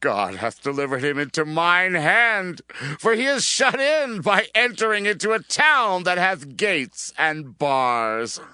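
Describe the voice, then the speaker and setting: A forceful, scheming obsessive king. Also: sits high, animated, slow, clear, resonant tone, dry recording, clean studio sound, exaggerated voice. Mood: gloating. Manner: theatrical, grandly declaiming. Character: scheming, obsessive king hunting his rival. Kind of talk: dramatized audio Bible acting.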